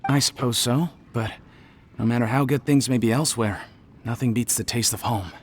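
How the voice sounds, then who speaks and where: A man's voice: medium pitch, steady tone, normal speed, a little hiss or hum, lightly acted. A man playing a friendly, easygoing adventurer in a video game. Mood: genial.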